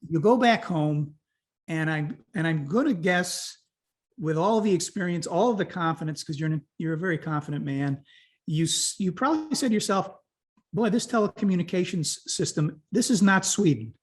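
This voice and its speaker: A man's voice: sits high, normal speed. A man hosting an interview, chatting easily and prompting his guest with questions. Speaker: interview host. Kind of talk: conversational interview.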